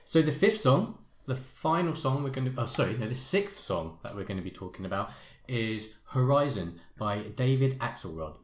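Male podcast host, mid-range pitch, loose chatty delivery, echoey recording. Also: sing-song, moderate pace, a little hiss or hum. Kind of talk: casual hosting chat. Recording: echoey room sound.

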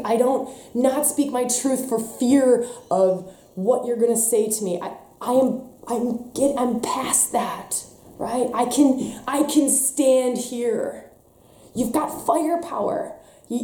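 A woman's voice: a little high, roomy sound. A woman giving an impassioned spiritual talk, emphatic and intense by turns, with a slightly stuffy voice. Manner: passionate, emphatic, swings between soft and intense. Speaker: spiritual teacher.

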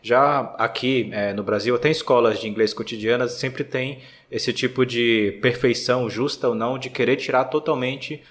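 A man with a medium-pitched voice hosts a relaxed podcast. He asks long, carefully built questions and leaves room for the guest to answer. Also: normal speed, few pauses, a little hiss or hum. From Brazil. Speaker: podcast host. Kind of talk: casual podcast interview.

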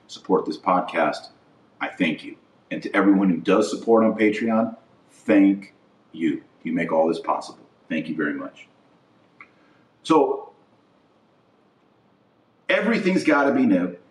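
A man with a fairly deep voice delivers informal opinion commentary as a podcast host. He is blunt and indignant, hammering points home through repetition. The sound is echoey, with the voice set back from the microphone. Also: sing-song, measured pace, long pauses. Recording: roomy echo, distant from the mic.

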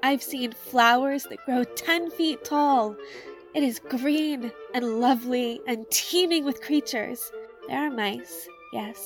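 A woman voicing a gentle, hopeful young female rat, speaking softly and slowly. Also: high voice, music in the background, voice pushed up.

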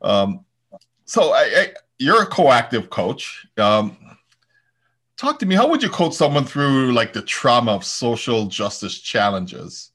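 Lively-pitched man hosting a podcast. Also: slow, breathy edge. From U.S. Virgin Islands. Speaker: podcast host. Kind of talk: conversational interview.

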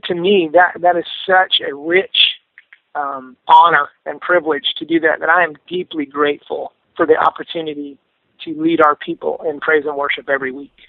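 A man's voice: fairly high pitch, fairly even intonation, moderate pace, gravelly, no room sound. Man on a tinny phone line. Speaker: pastor and worship leader, interview guest. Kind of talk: reflective interview answer.

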